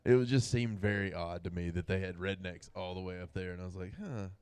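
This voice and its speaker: A man co-hosting a casual talk show, animated and often laughing as he tells stories. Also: low-pitched, fast talker, clear, resonant tone. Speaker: podcast co-host. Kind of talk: casual chat. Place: radio studio.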